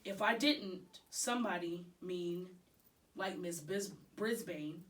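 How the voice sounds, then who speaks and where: Slow-reading woman, distant mic. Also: fairly low pitch, a bit bright. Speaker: storybook reader. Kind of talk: expressive read-aloud of children's novel.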